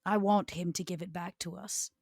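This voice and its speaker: A woman voicing an elegant, scheming century-old vampire aristocrat in a tabletop roleplay, with measured, formal, deliberate phrasing. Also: on the low side, fairly even intonation, vocal fry, darkish tone, no room sound, clean studio sound. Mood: cool, calculating.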